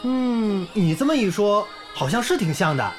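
An actor playing a young volunteer teacher in a village who speaks warmly and encouragingly. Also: male voice, high-pitched, big pitch swings, normal speed. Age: young adult. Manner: warm, encouraging tone. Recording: background music under the scene.

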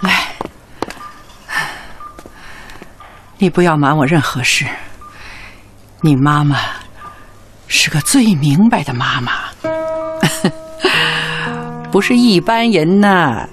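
A woman's voice: sits low, expressive, slow pace, long pauses. An actress playing a shrewd, humorous elderly mother in a Chinese radio drama, teasing and probing. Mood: fond, firm. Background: music.

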